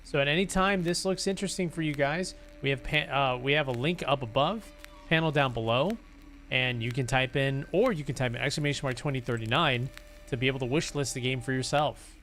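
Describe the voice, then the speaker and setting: A man's voice: mid-range voice, fairly quick, fairly bright tone, a little hiss or hum. Rambling, casual male livestreamer. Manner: rambling, hesitant, repeats himself. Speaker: live streamer host. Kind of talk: casual livestream chat to viewers.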